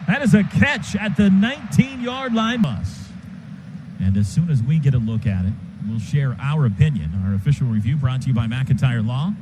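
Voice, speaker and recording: A man doing live radio play-by-play of a football game in clipped, rapid calls that burst into shouts on big plays. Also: mid-range voice, noisy background. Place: stadium radio booth.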